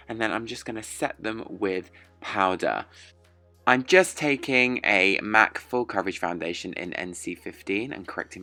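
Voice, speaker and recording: A man's voice: on the low side, pushed and bright. Man with a sore throat. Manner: chatty step-by-step narration. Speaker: drag performer and makeup vlogger. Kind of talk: makeup tutorial voiceover.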